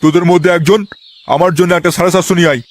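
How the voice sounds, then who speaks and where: A man's voice: high voice, steady tone, very fast, a little hiss or hum, close to an everyday voice. A man voicing a gluttonous, bossy, foul-mouthed uncle in a crude, boastful comedy cartoon. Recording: loud music bed with bird chirps.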